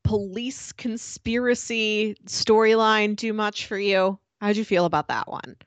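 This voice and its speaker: A woman chatting casually as a podcast co-host, telling stories in an animated, playful way. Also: medium pitch, measured pace, no room sound. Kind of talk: casual chat.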